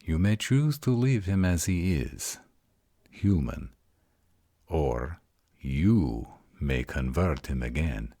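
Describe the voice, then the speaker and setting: Man acting as very deep-voiced arrogant vampire. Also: sing-song, mellow, no echo, studio quality, exaggerated voice. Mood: grave, formal. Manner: formal, measured, stern. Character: arrogant, commanding adult male vampire. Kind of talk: audio roleplay acting.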